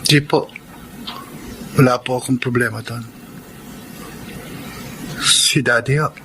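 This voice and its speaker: An actor playing a downcast, respectful young student son in a Filipino radio drama. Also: male voice, medium pitch, fairly even intonation, slow pace, noisy background. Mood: sad, subdued. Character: downcast, respectful student son worried about his father.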